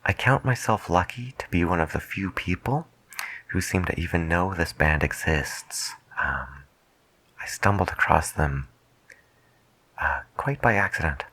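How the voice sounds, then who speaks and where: Soft-spoken deep-voiced male late-night DJ. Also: husky, low-quality recording. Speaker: late-night radio DJ host in a roleplay. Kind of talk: soft-spoken ASMR radio DJ patter.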